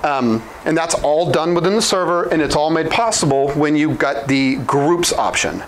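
Quick, casual man teaching at a whiteboard. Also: a little high, slight background noise, poor audio. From Oklahoma. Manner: quick, informal, restarts and self-corrections. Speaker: product trainer. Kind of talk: whiteboard explainer. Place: small indoor room at a whiteboard. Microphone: lapel mic.

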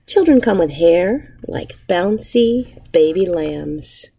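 A woman reads a children's picture book aloud in a slightly low, mellow voice with some gravelly fry. Her phrasing is expressive and measured, like a teacher at storytime. She is recorded in a classroom on a device at arm's length. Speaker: elementary school teacher. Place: indoors, empty school classroom.

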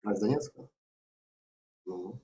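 A man's voice: lowish voice, slow pace, mellow, slight background noise. A man from Luhansk talking on a video chat, defensive and evasive under questioning. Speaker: chat roulette guest.

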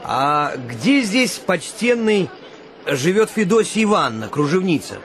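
An actor playing an earnest, educated gentleman come home from abroad, formal and probing, in a radio play. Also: high-pitched, sing-song, a little slow, faint music behind, exaggerated voice. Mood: genial.

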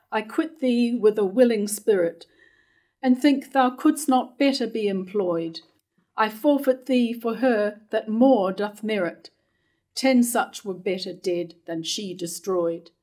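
Devoted, doomed royal counsellor, read by a woman in her fifties, unhurried stage verse delivery. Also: medium pitch, darkish tone. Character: doomed loyal male counsellor, devoted to his queen. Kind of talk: staged reading of verse tragedy. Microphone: lectern microphone.

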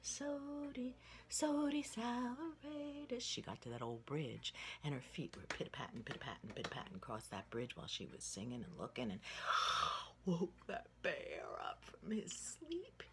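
A low-voiced woman in her sixties spins a children's tale with folksy drama. Her pitch moves widely and she pauses for emphasis. It is a phone recording made indoors with noise behind her. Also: big pitch swings, sharp tone. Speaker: storyteller. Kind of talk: animated oral storytelling for children. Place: indoor room with wooden ceiling. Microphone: phone at arm's length.

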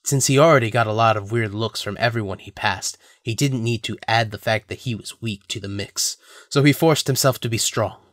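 A man's voice: on the low side, brisk, few pauses, fairly bright tone, dry recording, studio quality. A man narrating a scripted audio drama as a storyteller.

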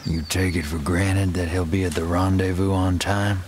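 An actor playing a cool, cynical doctor turned hired gun in a western game, dry and measured. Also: male voice, deep voice, little pitch movement, a little mellow, slight background noise, natural voice. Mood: cool, assured. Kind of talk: dramatic video game acting. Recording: music behind the voice.

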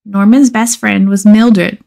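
A woman reading a children's picture book aloud expressively, with measured dramatic pauses and emphasis. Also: medium pitch, fairly even intonation, vocal fry, dark tone. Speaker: storybook reader.

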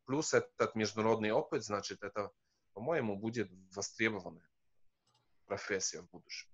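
Non-native professor on a muffled video call. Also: male voice, lowish voice, moderate pace, slightly rough. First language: not Russian. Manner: hesitant, many fillers and restarts. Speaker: professor, guest. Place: wood-panelled room indoors.

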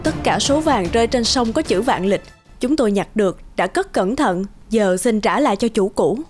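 High-voiced woman telling a folk tale. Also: expressive, normal speed, a little mellow, faint music behind. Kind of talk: scripted animated folk-tale narration and dialogue.

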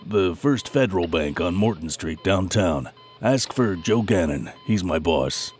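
A man's voice: deep voice, expressive, a little slow, a little mellow, faint music behind. A man playing a bewildered but good-humored loan officer recovering in hospital, rueful and wry, in an audio drama. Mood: rueful, puzzled.

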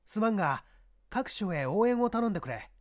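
Shrewd, commanding detective inspector played by a male reader, raised and lively pitch, brisk authority, mystery audiobook. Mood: urgent, commanding. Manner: brisk, authoritative, giving orders. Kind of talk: dramatized mystery audiobook reading.

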